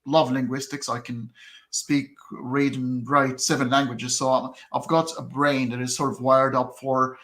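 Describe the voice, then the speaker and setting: Male guest, native Arabic speaker, mid-pitched, reflective, stop-start delivery. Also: level tone, fairly bright tone. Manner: thoughtful, halting, frequent restarts. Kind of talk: casual conversation.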